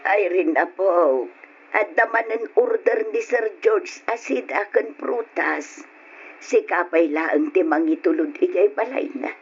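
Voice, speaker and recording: An actress playing a caring, solemn old grandmother with an Ilocano accent, gently admonishing in old radio drama style. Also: lowish voice, animated, takes it slowly, husky, soft background music, heavily acted. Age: older. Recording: noisy lo-fi radio drama recording.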